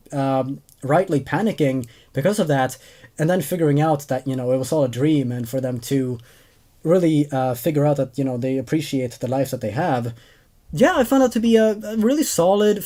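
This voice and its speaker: A man's voice: on the high side, dark tone. A man in his twenties hosting a horror story show, reading aloud and chatting casually about the tales. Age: 20s. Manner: hesitant, repeats words when commenting. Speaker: host and narrator of horror stories.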